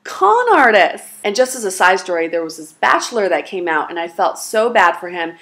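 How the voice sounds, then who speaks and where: A woman's voice: on the low side, big pitch swings, moderate pace, a bit bright. A young woman from China, a native Mandarin speaker, giving dating advice in a chatty, sarcastic, long-winded vlog. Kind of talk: casual direct-to-camera vlog.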